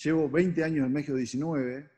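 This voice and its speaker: A man's voice: a little high, steady tone, a little fast, warm tone. A man talks casually as an interview guest. His delivery is conversational and unscripted. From Uruguay. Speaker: advertising agency partner, interview guest.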